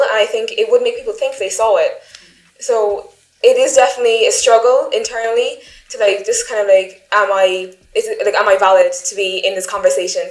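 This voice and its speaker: A young woman talks through her thoughts, trailing through "like" fillers. Her voice sits mid-height. The studio around her rings a little. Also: mid-range voice. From Ireland, County Cork. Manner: reflective, many "like" fillers. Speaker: interviewee. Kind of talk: casual discussion. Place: studio with plain backdrop. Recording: roomy studio echo.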